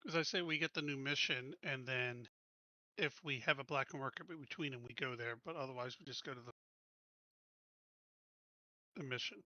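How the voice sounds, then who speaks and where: A man playing in a tabletop game, talking casually and haltingly, often trailing off. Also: medium pitch, pushed and bright, no echo, lo-fi sound. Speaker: player.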